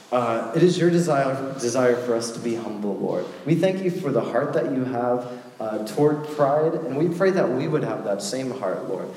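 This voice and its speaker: A young man preaching to a youth group in a casual, joking way, with lively asides. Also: medium pitch, slight background noise. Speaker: youth pastor. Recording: echoey hall with faint ambience.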